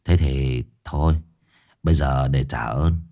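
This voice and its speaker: A man doing a gruff, taunting exorcist. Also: a bass voice, measured pace, dark tone, pitched below the performer's own voice. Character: gruff young male exorcist, mocking. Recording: faint background music.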